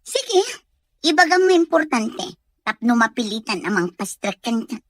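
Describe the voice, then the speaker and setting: Woman acting a high, animated Filipino conniver. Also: moderate pace, dry recording, clean studio sound. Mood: conniving. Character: conniving female accomplice egging on a schemer. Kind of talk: Ilocano radio drama acting.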